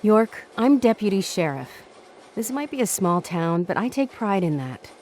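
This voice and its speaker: An actress with an American accent playing a proud, dutiful small-town deputy sheriff in a video game, earnest and emphatic. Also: female voice, mid-range voice, big pitch swings, moderate pace, a little mellow, slight background noise, lightly acted. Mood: resolute. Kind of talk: dramatic game cutscene acting.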